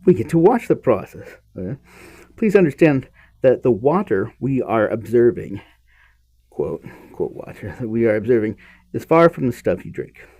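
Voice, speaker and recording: A man reads an explanatory science text aloud in a soft-edged, husky voice. His pitch swings widely as he stresses key phrases, and he halts, repeats words and drops into offhand asides. The tone stays mellow and dark. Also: very animated. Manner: emphatic, halting, with repeated words and muttered asides. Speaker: podcast host reading a book aloud.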